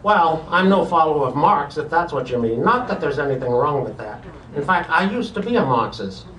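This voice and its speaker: Wisecracking puppet professor played by a man, cocky and punning, higher-pitched, for live ventriloquist comedy, heard from afar in a noisy room. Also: lively intonation, a little fast, fairly bright tone, far from the mic. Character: wisecracking puppet professor, brash, conservative, vain and quick-witted. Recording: roomy, noisy conference hall from afar.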